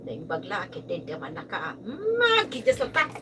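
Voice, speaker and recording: A woman with a Filipino accent playing an emotional, expressive mother in a radio drama. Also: fairly low pitch, moderate pace. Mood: earnest, concerned. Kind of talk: Ilocano radio drama acting. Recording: distant mics, noisy amateur live recording.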